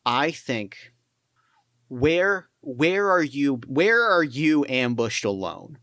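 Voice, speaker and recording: Smooth-voiced enthusiastic male game master. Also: medium pitch, sing-song, takes it slowly, fairly bright tone, dry recording, studio quality. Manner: enthusiastic, profane, stumbles over restarts. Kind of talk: actual-play tabletop narration.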